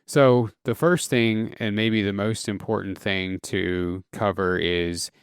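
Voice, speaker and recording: A man hosting a solo podcast, explaining at a relaxed pace with casual fillers and self-corrections. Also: lowish voice, takes it slowly, smooth, no echo, studio quality. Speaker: podcast host.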